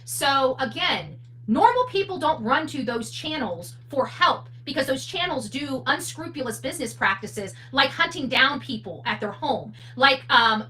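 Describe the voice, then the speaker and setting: A woman's voice: high-pitched, bright, forceful tone, low-quality recording. A woman hosting a true crime livestream, speaking off the cuff in a blunt, opinionated, emphatic way and answering viewer comments. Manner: blunt, opinionated, emphatic, asks rhetorical questions. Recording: noticeable background noise with hum.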